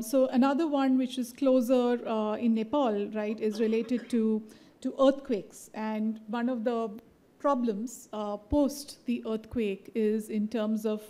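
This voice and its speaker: A woman's voice: fairly high pitch, warm tone. A woman presenting at a conference, talking loosely with lots of fillers and tag questions like "right?".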